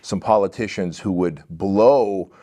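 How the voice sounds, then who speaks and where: A man hosting a podcast interview, eager and quick to chime in. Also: low-pitched, dark tone. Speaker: podcast host. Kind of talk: interview conversation.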